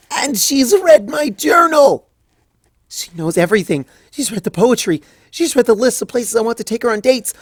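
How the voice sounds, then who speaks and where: A woman's voice: husky, dry recording, studio quality. A woman doing a frantic, lovesick young unicorn mare. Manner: high, wailing and sobbing. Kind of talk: fanfic reading.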